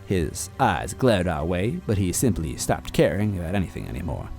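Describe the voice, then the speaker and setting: A man narrating a fan-fiction story aloud in a playful, animated way. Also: sits low, normal speed, creaky, faint music behind.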